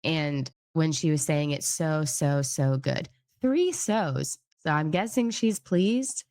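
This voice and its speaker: A woman's voice: low-pitched, slow, a little mellow. A young woman with a nasal voice from a lingering cold, casually chatting as host and reading news aloud, rambling and hedging as she goes. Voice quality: nasal, getting over a cold. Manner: rambling and hedging, with many fillers. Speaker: host of a news recap channel.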